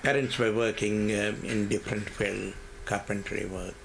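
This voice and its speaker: Older guy born in india, low flat voice, slow halting answers, lots of ums. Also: creaky, a little hiss or hum. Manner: hesitant, halting, many fillers and repeats. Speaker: interviewee. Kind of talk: oral history interview.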